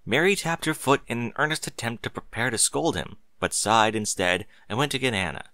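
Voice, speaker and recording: A man reading a story aloud as narrator, in an expressive scripted style. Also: medium pitch, fairly quick, no echo.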